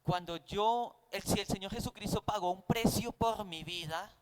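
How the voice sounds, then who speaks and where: A man's voice: sits high, moderate pace. A man with a Colombian accent teaching a Bible class in a didactic, conversational way, often posing rhetorical questions.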